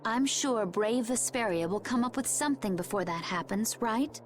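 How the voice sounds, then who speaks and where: A woman playing a composed, resolute young warrior woman in a video game, speaking in a poised, measured, earnest way. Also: fairly high pitch, animated, background noise, lightly acted. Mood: calm, wry.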